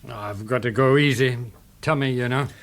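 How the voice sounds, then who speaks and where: An actor with an English accent playing a measured, courteous police inspector in a radio drama. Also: male voice, mid-range voice, close to an everyday voice. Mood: genial. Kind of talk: BBC radio drama acting.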